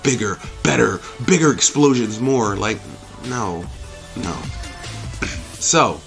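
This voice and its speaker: A man's voice: lowish voice, lively intonation, slow, creaky, fairly bright tone, faint music behind. A man giving an opinionated, off-the-cuff review as a host, speaking emphatically, repeating himself and swearing freely. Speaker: movie reviewer and channel host.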